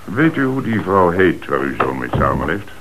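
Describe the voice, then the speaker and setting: Police commissioner: deep, composed, in command, businesslike. Radio drama with slight background hiss. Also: male voice, very animated, normal speed. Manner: businesslike questioning and orders. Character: calm, authoritative male police commissioner leading an investigation. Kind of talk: radio play acting. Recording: noisy old radio drama recording.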